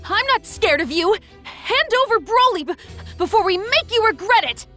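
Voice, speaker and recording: Plucky alien fighter, young, sassy, lively intonation, music behind, video game cartoon acting. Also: female voice, very high-pitched, normal speed, exaggerated voice. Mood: defiant. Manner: informal, feisty.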